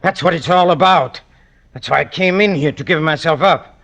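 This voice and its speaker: An actor playing a lonely, unhinged killer in a vintage radio thriller, speaking haltingly and obsessively, repeating himself. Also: male voice, on the high side, a bit bright. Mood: weary, confessing. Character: lonely, unhinged young male killer, brooding and self-pitying. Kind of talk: 1940s-50s radio thriller acting. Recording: dull vintage radio recording.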